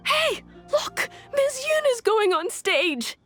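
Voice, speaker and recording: Shrill little fairy sidekick for a game, super high, swoops all over, gushy. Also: female voice, very animated, takes it slowly, fairly bright tone, full character voice. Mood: excited. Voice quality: squeaky, shrill. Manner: gushing, exclamatory. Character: small excitable childlike female fairy companion. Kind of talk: video game voice acting. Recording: music under the voice.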